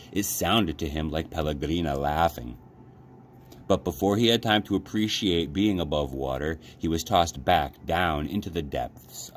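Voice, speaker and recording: Deep-voiced man reading a storybook, background noise. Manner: measured, dramatic phrasing. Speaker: library storyteller. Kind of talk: children's audiobook-style read-aloud.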